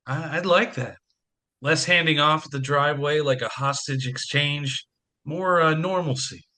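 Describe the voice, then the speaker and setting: A man's voice: a little high, unhurried, pushed and bright. A man playing a wry, stressed soccer-coach father who jokes at his own expense in an audio play. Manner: joking, self-deprecating.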